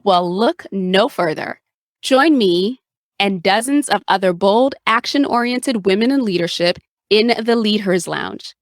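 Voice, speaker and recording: A woman's voice: mid-range voice, expressive, measured pace, no echo. Female podcast host with close, dry sound. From Haiti. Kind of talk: podcast intro and interview hosting.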